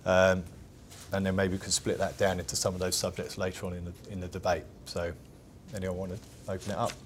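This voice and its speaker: A man moderating a round table discussion, prompting the panel in a relaxed way. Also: sits low, a little fast, slight background noise.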